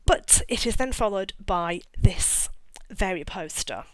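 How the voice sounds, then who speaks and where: A woman's voice: mid-range voice, animated, a little slow, no room sound, rough recording. A middle-aged woman talking casually as an author about her own work, in a chatty, rambling way with self-mocking asides. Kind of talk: casual talk to camera.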